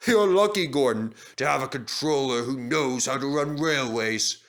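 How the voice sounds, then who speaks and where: A man's voice: mid-range voice, expressive, moderate pace, fairly bright tone. A man voicing a famous, self-satisfied steam engine, a genial and confiding elder brother in a children's story. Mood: genial, proud.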